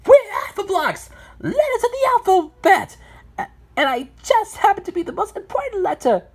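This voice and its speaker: A woman acts out a pink cartoon letter block, a girl very sure of her importance. The voice is high and lively, pompous yet curious. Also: normal speed, exaggerated voice. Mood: self-important.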